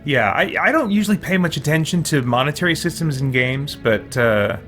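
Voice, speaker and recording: A man giving casual let's play commentary, thinking out loud to himself as he makes decisions. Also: fairly high pitch, animated, fairly quick, soft background music. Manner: musing, talks to himself while deciding. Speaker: video game streamer.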